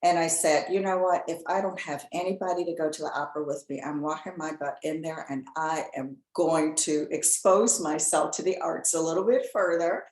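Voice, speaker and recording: A woman hosting a podcast interview, chatting casually and telling lively stories with frequent laughter. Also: sits low, normal speed, hardly any pauses. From Chicago area. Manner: lively storyteller, laughs often. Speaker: podcast host. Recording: echoey room.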